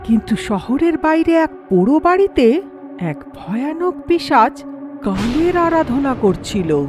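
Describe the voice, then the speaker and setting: High-pitched, animated woman storyteller. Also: measured pace, soft background music. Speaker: narrator. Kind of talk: scripted, dramatic story narration for an animated horror tale.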